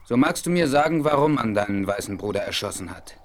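An actor playing a noble, observant Apache chief in a 1970s radio play, speaking in a formal, measured, declamatory way. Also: male voice, medium pitch, lively intonation, lightly acted. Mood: probing, grave. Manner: formal, declamatory, measured, addresses others in third person. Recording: noisy 1970s vinyl radio play rip.

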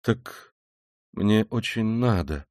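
A man voicing a brooding, tormented young man in an audiobook novel, speaking in a halting, abrupt manner. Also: lowish voice, lively intonation, no echo, studio quality, lightly acted. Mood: faltering.

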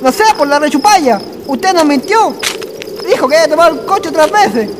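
Shrill, panicky Chilean countryman. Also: expressive, normal speed, noisy background. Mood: accusing, frightened. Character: panicky, superstitious, whining country man. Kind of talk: radio drama acting. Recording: radio play with music underneath.

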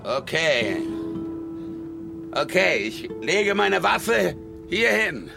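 Western gunman, heavy creak, slow pace, terse, commanding, wary, radio play. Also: high voice, very animated, heavily acted. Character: wary, hot-headed male Western gunman hero. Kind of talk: German radio play acting. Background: music.

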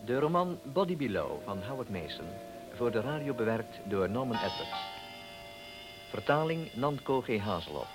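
A man's voice: mid-range voice, very animated, normal speed. A man announcing the scripted opening credits of a radio drama. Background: music.

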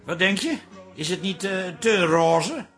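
An actor playing a jealous, flustered middle-aged husband, stammering and exasperated in a comic radio play. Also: male voice, sits high, expressive, unhurried, a bit bright, faint music behind. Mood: sheepish, hopeful.

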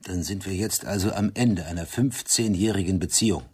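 Indignant, husky-voiced man. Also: sits low, a little fast, darkish tone. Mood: reproachful. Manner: curt, reproachful, exasperated. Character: defensive, indignant man quarrelling with an old friend. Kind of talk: German radio drama acting.

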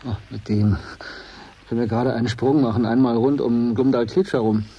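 An actor playing a wry, tender captive spaceman who stays calm in despair, in a German radio drama. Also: medium pitch, fairly quick, breathy edge, lo-fi sound.

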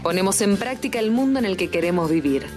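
A woman's voice: medium pitch, animated, quick pace. A woman giving a scripted promo voice-over with a resolute, rallying delivery. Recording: voice over clear music. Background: guitar music.

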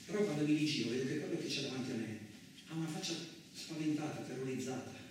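An actor playing a battered, bewildered truck driver in a theatrical radio monologue, speaking in a halting, repetitive, colloquial way. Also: male voice, medium pitch, close to an everyday voice. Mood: weary, uneasy. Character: battered, bewildered, rough-spoken Italian truck driver telling his ordeal. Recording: very echoey, distant, clearly noisy recording.

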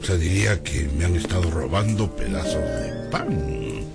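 An actor playing a stingy, pious, gullible priest who grumbles pompously, with exaggerated exclamations, in a radio drama. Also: male voice, low-pitched, expressive, unhurried, creaky, heavily acted. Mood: suspicious. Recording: studio radio drama with music bed.

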